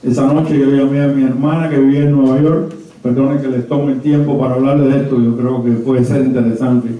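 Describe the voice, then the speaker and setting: An elderly man from Havana with a Cuban accent, speaking at a conference and telling personal memories in a deliberate, hesitant way. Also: mid-range voice, warm tone, far from the mic. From Havana, Cuba. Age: 70s or older. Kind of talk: personal reminiscence told to a small audience. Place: indoor conference room. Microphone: handheld mic, recorded from a distant camera. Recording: very echoey, muffled room from afar.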